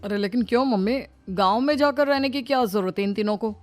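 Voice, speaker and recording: A woman's voice: fairly high pitch, fast, lightly acted. A woman voicing a lazy, blunt young son-in-law in a cartoon story, with a mocking tone. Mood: agreeable. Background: faint background music.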